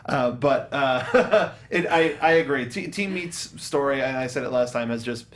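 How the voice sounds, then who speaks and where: Casting: male panel show co-host, mid-pitched voice, conversational, thinking aloud with frequent restarts. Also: expressive, moderate pace, fairly bright tone. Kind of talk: casual panel discussion of a film.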